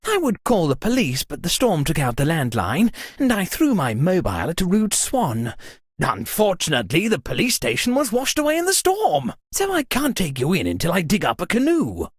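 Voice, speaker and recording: A woman's voice: on the low side, wildly swooping pitch, normal speed, slightly rough, no echo, clean studio sound, exaggerated voice. A woman voicing a fussy, easily scandalized upper-class party host in a video game, with a pompous, exclamatory delivery. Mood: indignant, pompous. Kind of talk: theatrical scripted game voice lines.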